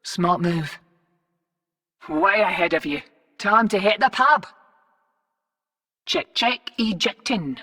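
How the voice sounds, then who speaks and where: A woman's voice: mid-range voice, animated, slow pace, long pauses, bright, forceful tone, long reverb tail, exaggerated voice. An actress voicing a cocky female rogue fortune hunter and arms dealer in a video game, cheeky, slangy and sardonic. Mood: breezy. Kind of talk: video game character voice lines.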